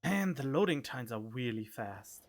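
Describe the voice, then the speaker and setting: A man giving playful, theatrical gameplay commentary into a close microphone, jokey and excitable in his reactions. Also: medium pitch, moderate pace. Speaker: streamer playing a game. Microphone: close studio microphone. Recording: game music behind the voice.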